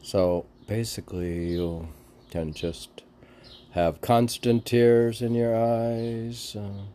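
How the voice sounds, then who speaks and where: A man reading poetry aloud with halting, musing, informal commentary, often answering his own questions. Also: mid-range voice, fairly even intonation, dark tone. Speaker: reader and commentator of mystic poetry.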